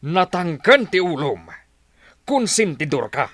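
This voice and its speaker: A man's voice: a little high, very animated, slow pace, a bit bright, no echo, heavily acted. An actor playing a stern, scolding middle-aged husband and father in an audio drama, with a Filipino accent. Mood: scolding, sarcastic.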